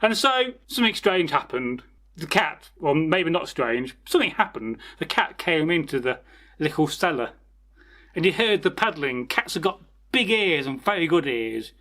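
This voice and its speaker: A high-voiced man hosts as a storyteller, spinning a folktale informally. He rambles chattily and often turns aside to address the listeners directly. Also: big pitch swings, normal speed, bright, forceful tone. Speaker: storyteller, channel host.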